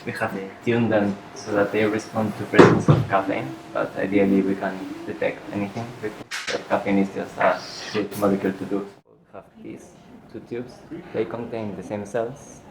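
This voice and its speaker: Male student, low monotone, echoey noisy lab. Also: moderate pace, few pauses. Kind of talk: lab demonstration.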